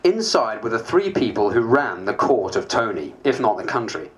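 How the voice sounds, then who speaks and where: A man's voice: fairly low pitch, big pitch swings, moderate pace. A man playing a harried, self-mocking MP, narrating a dramatised diary in a dry, wry way. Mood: wry, weary. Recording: faint background noise.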